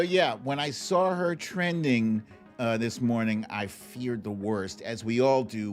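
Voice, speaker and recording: A man's voice: mid-range voice, darkish tone. A man with an American accent chatting informally and expressively as the host of a live online talk show. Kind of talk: casual livestream chat and commentary.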